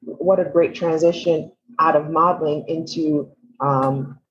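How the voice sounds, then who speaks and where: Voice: mid-range voice, fairly even intonation, measured pace. A guest with a Nigerian accent, a model turned actor, talking enthusiastically with many restarts and fillers. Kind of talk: casual interview chat. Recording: dull lo-fi sound.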